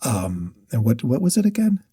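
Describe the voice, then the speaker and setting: A man co-hosting a podcast, chatting about books in a halting, thoughtful way. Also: medium pitch, sing-song, mellow, breathy edge, no room sound, clean studio sound. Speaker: podcast co-host. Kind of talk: casual book discussion chat.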